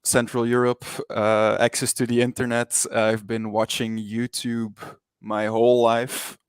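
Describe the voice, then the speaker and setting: A man from Belgium with Dutch as his first language and a Dutch-speaking Belgian accent, chatting casually as an interview guest in a hesitant, halting way. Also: medium pitch, flat delivery, clear, resonant tone, dry recording, clean studio sound. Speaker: interview guest, digital artist.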